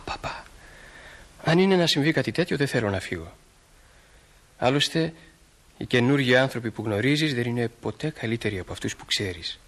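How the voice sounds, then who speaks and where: An actor playing an earnest, eager small-town teenage boy in a stage drama, speaking sincerely and impulsively with a slightly husky voice. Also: medium pitch, a bit bright. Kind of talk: stage drama acting for broadcast.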